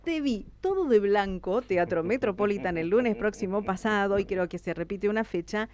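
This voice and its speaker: A woman's voice: medium pitch, expressive, moderate pace, darkish tone. A woman with an Argentine Spanish accent hosting a casual radio chat in a talkative, agreeable manner. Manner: chatty, interjects agreement often. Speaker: radio host.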